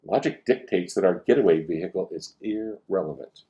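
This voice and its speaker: Storybook role: firm, logical girl spy read by a man, explanatory delivery in a low, soft-toned register. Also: moderate pace, muffled recording, natural voice. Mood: matter-of-fact. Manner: matter-of-fact, explanatory. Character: logical, firm, know-it-all schoolgirl spy. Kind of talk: storybook read-aloud dialogue.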